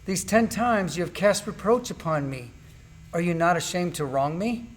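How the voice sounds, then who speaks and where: A man's voice: high voice, animated, moderate pace. A man playing an anguished, bitter suffering man in an amateur church Bible drama, reciting in a declamatory way. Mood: bitter, defiant.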